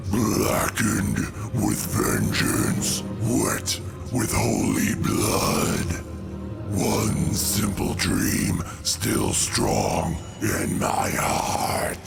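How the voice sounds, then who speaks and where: Gravelly, menacing, slow god-killer villain. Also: male voice, fairly low pitch, steady tone, exaggerated voice. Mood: solemn, vengeful. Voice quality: gravelly, creaky. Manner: slow, grandiose, theatrical delivery. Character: vengeful, cold, menacing male alien god-killer villain. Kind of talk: comic-book audio drama monologue. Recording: loud music under the voice.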